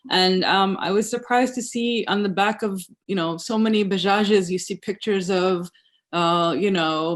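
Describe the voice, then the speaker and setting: A panel speaker in an academic discussion, starting slowly with fillers and trailing off. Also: mid-range voice.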